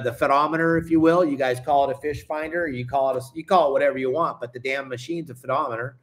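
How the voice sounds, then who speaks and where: Folksy, emphatic middle-aged male fishing guide. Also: high voice. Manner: folksy and emphatic, addresses viewers as gang. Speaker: fishing guide and boat captain. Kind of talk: casual video lesson to camera. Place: indoor room at home.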